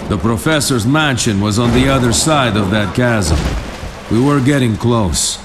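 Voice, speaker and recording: An actor playing a hard-bitten, sardonic veteran soldier in a video game, with a dry, defiant manner. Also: male voice, medium pitch, lively intonation, unhurried, heavy background noise, lightly acted. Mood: grim recounting. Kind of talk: video game cutscene acting and narration. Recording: music behind.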